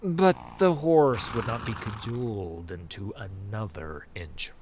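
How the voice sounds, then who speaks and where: A man with a fairly low voice narrates dark fantasy in a dramatic, scripted style. His storytelling is slow, measured and ominous, with clearly audible atmospheric ambience behind it. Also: a little hiss or hum. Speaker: audiobook narrator.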